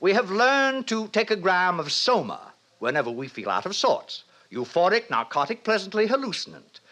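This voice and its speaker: An actor playing a brisk, self-satisfied director who lectures young students pompously in 1950s radio drama style. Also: male voice, high-pitched, sing-song, pushed and bright, full character voice. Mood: approving, didactic. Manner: pompous, didactic, declamatory. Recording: vintage 1950s radio recording.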